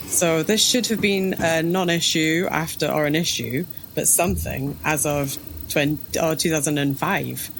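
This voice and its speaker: A woman's voice: deep voice, moderate pace, creaky, poor audio. A woman co-hosting a casual podcast discussion, phrasing her thoughts in a hesitant, circling way. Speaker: podcast co-host. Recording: background ambient noise.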